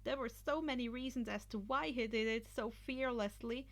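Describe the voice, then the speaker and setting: A woman reading a fan fiction story aloud as expressive scripted narration. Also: a little high, normal speed. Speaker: podfic reader.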